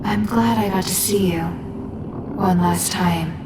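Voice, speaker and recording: An actress playing a departed female warrior's spirit in a video game, speaking earnestly and slowly. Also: fairly low pitch, noisy background. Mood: tender farewell. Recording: echoing, distant, under loud music.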